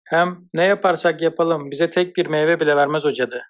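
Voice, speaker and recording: A man's voice: fairly high pitch, normal speed, natural voice. A man playing a timid, apologetic woodcutter husband in a cartoon fairy tale, hesitant and polite. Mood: worried.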